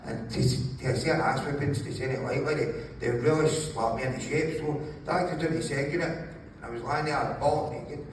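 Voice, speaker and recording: Gravelly man in an echoey room. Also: on the high side, normal speed. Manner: sweary, jokey, apologises for swearing. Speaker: interview guest, author. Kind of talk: animated casual storytelling. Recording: very echoey room, distant mic, faint noise.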